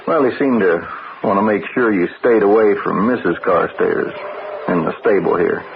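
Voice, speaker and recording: An actor playing a watchful, folksy ranch stable hand with an American Western accent and a hesitant drawl, in old-time radio drama style. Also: male voice, low voice, normal speed, gravelly. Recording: noisy, dull old radio broadcast.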